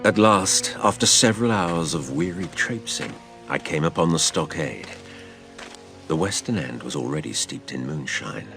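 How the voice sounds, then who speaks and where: A man narrates as an adventurer looking back on his boyhood. His voice is deep and creaky, with dramatic swings of pitch. The delivery is literary, theatrical storytelling. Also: sing-song, normal speed, over music, full character voice. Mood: gripping, reflective.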